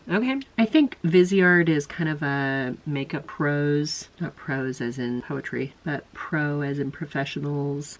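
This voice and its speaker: A woman's voice: sits low, a little hiss or hum, poor audio. A woman vlogger with a congested, stuffy voice, chatting through a makeup try-on and rambling as she goes. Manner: chatty, rambling, self-questioning. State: congested from illness. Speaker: beauty vlogger. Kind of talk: casual makeup try-on chat.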